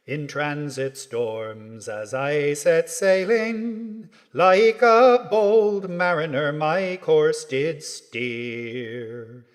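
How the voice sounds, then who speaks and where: A man singing a folk ballad, a lament delivered in long, drawn-out phrases. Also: on the high side. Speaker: folk singer. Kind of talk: slow sung ballad with convict lament. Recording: long hall reverb.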